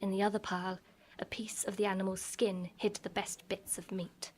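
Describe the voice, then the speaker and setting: An actress as a knowing, teasing barmaid who spins ancient myths in a radio play. Her voice is medium-pitched and measured, with some noise from a live stage recording. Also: little pitch movement, lightly acted. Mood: measured storytelling. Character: teasing barmaid turned storyteller of Greek myth.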